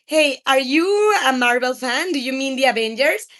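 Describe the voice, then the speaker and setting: A woman's voice: sits high, animated, normal speed, fairly bright tone. A woman in her thirties from Mexico, a native Spanish speaker with a Mexican accent, chatting casually with viewers as a livestream host. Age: 30s. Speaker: video game live streamer.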